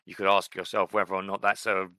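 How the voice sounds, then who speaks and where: Low-voiced podcast host, bright and dry. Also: male voice, flat delivery, quick pace, no room sound. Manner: reflective, rambling with many fillers and restarts. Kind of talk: casual chat.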